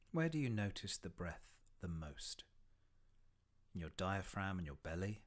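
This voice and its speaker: A man leading a guided body scan meditation in a gentle, unhurried way, with long pauses between short phrases and soft, breathy phrase endings. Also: low-pitched.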